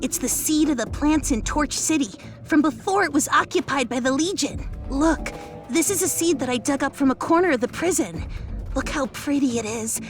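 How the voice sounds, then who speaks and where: A woman voicing a timid, gentle rabbit botanist in a video game, plaintive and hesitant. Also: sits high, animated, moderate pace, music in the background. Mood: earnest, grateful. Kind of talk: video game cutscene acting.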